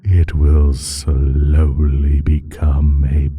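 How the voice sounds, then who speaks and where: Very deep, husky ancient evil creature. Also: male voice, monotone, warm tone, exaggerated voice. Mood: menacing, patronizing. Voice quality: very deep, husky, breathy, dropping into whisper. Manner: drawn-out, halting, teasing delivery with low chuckles. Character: ancient evil male creature, sly, taunting, sinister pact-maker. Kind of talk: slow, theatrical audio roleplay monologue addressed to listener.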